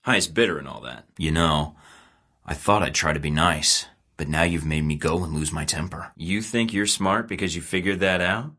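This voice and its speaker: Video game spy lead: low voice, dry wit, terse and sardonic. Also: male voice, moderate pace, pushed and bright. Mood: cool. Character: cocky male secret agent, dry and sardonic. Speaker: game character.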